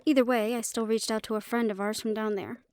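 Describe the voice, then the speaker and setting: A fairly high-pitched female magic shop keeper, acted by a woman in a fantasy audio drama. She explains things helpfully and knowledgeably, with a light teasing edge. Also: fairly even intonation, quick pace, a little mellow, natural voice. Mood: explaining. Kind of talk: fantasy audio roleplay acting.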